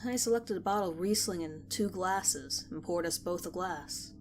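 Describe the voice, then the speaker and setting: A woman's voice: fairly low pitch, moderate pace. A woman reading a scripted first-person horror story as narrator. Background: music.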